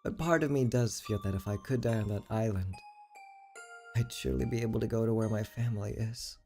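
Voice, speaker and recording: Woman reading as a brooding young woman, creaky voice. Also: rumbling low, brisk, mellow. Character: solemn, haunted young woman resigned to fate. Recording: background music under the voice.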